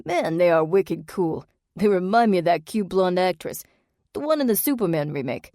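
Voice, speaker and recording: A woman's voice: lowish voice, animated, normal speed, gravelly, dry recording, clean studio sound. Woman doing a brash Jersey-accented young man.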